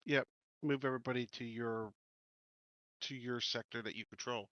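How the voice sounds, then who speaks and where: A man playing a tabletop roleplaying game, talking plainly and deliberately as he negotiates in character. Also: mid-range voice, fairly even intonation, no room sound, lo-fi sound. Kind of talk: casual in-character game talk.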